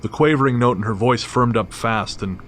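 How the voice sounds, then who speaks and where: A man's voice: mid-range voice, moderate pace, fairly bright tone, a little hiss or hum. A man reading scripted story narration in the first person, with a wry, matter-of-fact manner. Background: clearly audible music.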